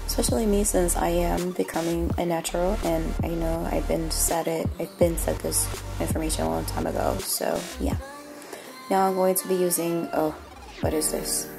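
A young woman with a raspy voice, a bit under the weather, chatting casually to viewers about her routine in a small bathroom. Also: fairly low pitch, lively intonation, normal speed. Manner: chatty, self-mocking, talks to viewers directly. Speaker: beauty vlogger. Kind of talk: casual chat. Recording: music playing clearly underneath.

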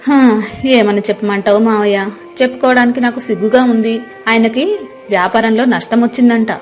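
A woman's voice: mid-range voice, a little mellow, close to an everyday voice. A woman playing a doting, plaintive, manipulative young married niece in a dramatized village moral story. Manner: solicitous, plaintive.

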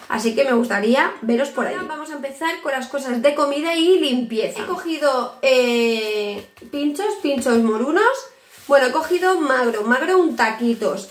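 A woman's voice: high-pitched, reverberant. A young woman from Spain, chatting to camera in her home kitchen as she shows off her weekly shopping. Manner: chatty, shows items to camera, frequent restarts. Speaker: home vlogger. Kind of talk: casual haul video to camera.

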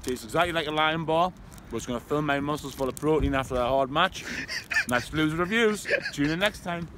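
A man's voice: a little high, brisk. A playful young man doing a mock product review to a phone camera outdoors at night. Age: young adult. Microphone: phone camera held close. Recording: noisy street with traffic.

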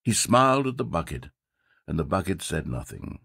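Brief: wry storyteller in a video game, low voice that swoops wildly up and down, bone-dry studio sound. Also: male voice, big pitch swings, normal speed, gravelly, bright, forceful tone, husky, no room sound, heavily acted. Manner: wry, theatrical, sardonic. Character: sardonic, theatrical male storyteller. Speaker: game narrator. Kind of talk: scripted game narration.

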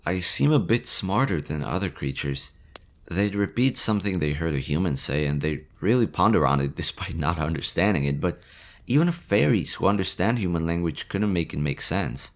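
A man's voice: deep voice, lively intonation, normal speed. A man voicing a nervous slime boy. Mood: chatty. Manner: soft, hesitant, chatty. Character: nervous gentle male slime monster, young. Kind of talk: audio roleplay, ASMR.

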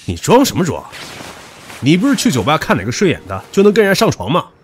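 An actor playing a brash, hot-tempered young subordinate who taunts crudely in an audio drama. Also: male voice, on the high side, huge pitch swings, quick pace, a little hiss or hum. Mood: furious. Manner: mocking, crude, provocative. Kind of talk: modern audio drama acting.

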